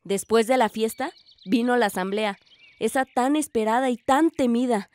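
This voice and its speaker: An actress playing a hopeful young village woman, narrating a radio drama in an earnest, measured way. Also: a little high, a little mellow, close to an everyday voice. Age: young adult.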